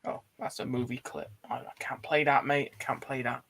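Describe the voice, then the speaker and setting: A British man hosts a casual online film chat with a gravelly creak in his voice. His pitch sits in the middle, and his way of talking is blunt and informal. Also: level tone, brisk, fairly bright tone. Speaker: host of an online film show. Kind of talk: casual chat, reading out viewer comments.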